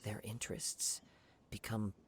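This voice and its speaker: An actor playing a calm, perceptive young wandering swordsman in a video game, with measured, formal, contemplative phrasing. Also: on the low side, a little hiss or hum, natural voice. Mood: grave, explanatory. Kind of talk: video game dialogue acting.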